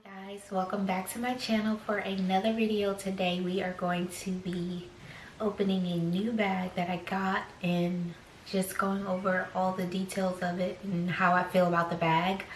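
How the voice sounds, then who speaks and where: A young woman, slightly raspy after choking on a drink, chatting slowly through an unboxing vlog with many fillers and soft whispered asides. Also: medium pitch, little pitch movement. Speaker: fashion vlogger. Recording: echoey room.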